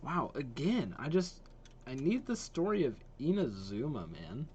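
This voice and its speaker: A man's voice: mid-range voice, sing-song, unhurried, a little mellow, slight background noise. A man giving casual reaction commentary as a host, thinking aloud as he goes.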